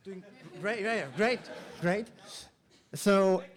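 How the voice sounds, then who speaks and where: A man's voice: sits high, slow. A man hosting a live event, making casual off-the-cuff remarks in a playful, wry way and stumbling over his words. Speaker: event host. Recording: live event with audience noise. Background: faint crowd.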